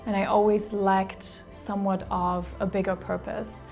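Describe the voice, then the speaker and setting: A woman's voice: medium pitch, little pitch movement, unhurried, vocal fry, darkish tone, soft background music. A woman on the organization's staff speaking sincerely and reflectively in an interview.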